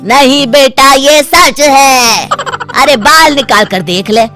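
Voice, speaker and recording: A woman voicing an exasperated middle-aged father in a story, speaking loudly and bluntly. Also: sits high, takes it slowly, soft background music, voice pushed up, exaggerated voice. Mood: firm, consoling. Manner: loud, blunt scolding.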